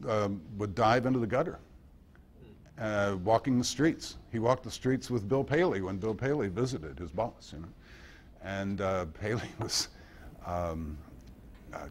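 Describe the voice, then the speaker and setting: A man giving an informal history lecture at a club meeting in a halting manner, recorded by an amateur. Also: lowish voice, slight background noise. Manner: halting, with pauses and quoted passages read aloud. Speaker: guest speaker at a club meeting.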